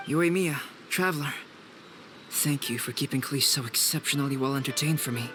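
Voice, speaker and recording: A man playing a composed, courteous, scholarly young man in a video game, measured and formal. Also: mid-range voice, over music, close to an everyday voice. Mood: calm, courteous.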